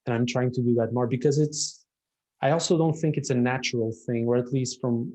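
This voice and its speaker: Portuguese-accented male designer, thoughtful, halting delivery, mid-range voice, casual chat. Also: mid-range voice, fairly even intonation, a little mellow. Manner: reflective, hesitant with restarts. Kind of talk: casual conversation.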